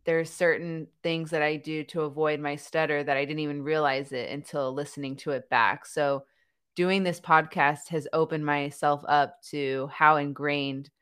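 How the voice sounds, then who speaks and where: A young adult woman with a stutter, a podcaster talking openly in a relaxed conversation. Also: deep voice, moderate pace, clear, resonant tone. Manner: stutters, laughs between thoughts. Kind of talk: conversational interview chat.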